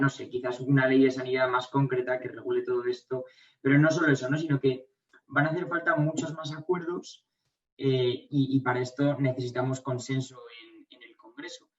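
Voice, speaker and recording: A man's voice: mid-range voice, fairly bright tone. Distant, muffled young man, hesitant. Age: young adult. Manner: hesitant, tentative. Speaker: university student asking a question. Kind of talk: spontaneous audience question.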